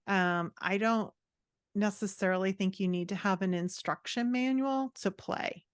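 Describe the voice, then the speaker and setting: A woman's voice: mid-range voice, unhurried, smooth, no echo, studio quality. A woman speaking as a guest writing coach, explaining her ideas in a conversational, encouraging and reflective way. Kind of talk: conversational advice in a podcast interview.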